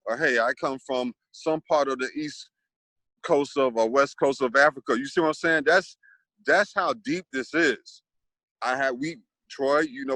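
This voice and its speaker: Brief: man, panel host, rambling thoughtful delivery, bright tense tone, dry close sound. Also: medium pitch, no room sound, low-quality recording. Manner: thoughtful, rambling, many restarts. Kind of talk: casual panel discussion.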